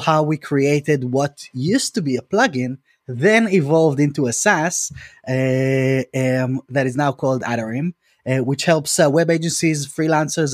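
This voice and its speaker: A man's voice: mid-range voice, no room sound. Man with Hebrew as native language, dry sound. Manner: measured, hesitant with many fillers. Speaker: guest, company founder. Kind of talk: casual podcast interview.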